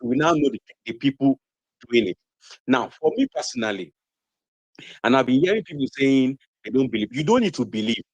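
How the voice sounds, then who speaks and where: A man's voice: mid-range voice, no echo, low-quality recording. A man with a Nigerian accent, arguing heatedly as a guest on a TV debate, repeating phrases for emphasis. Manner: impassioned, repeats phrases for emphasis, many fillers. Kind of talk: heated political argument.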